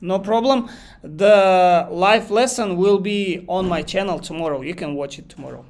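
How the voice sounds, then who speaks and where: Kazakh-accented male tutor, a touch high-pitched, unscripted live class, loose and repetitive phrasing. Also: moderate pace. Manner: thinks aloud, repeats phrases, reads chat questions aloud. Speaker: English exam teacher. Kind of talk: live online lesson.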